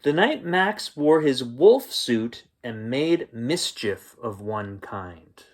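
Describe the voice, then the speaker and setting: Male children's storyteller, leisurely delivery, lively intonation, chatty gentle asides between lines of the book. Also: medium pitch. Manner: unhurried, expressive, with gentle asides to listeners. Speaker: bedtime story reader and host. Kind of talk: children's picture-book read-aloud.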